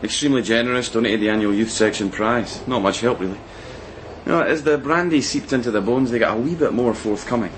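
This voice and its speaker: Actor as a fast-talking cheeky young-rank policeman. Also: medium pitch, a little hiss or hum, close to an everyday voice, low-quality recording. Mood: breezy, gossipy. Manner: slangy, breezy. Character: chatty, cheeky junior policeman eager to report. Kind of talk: naturalistic radio crime drama acting.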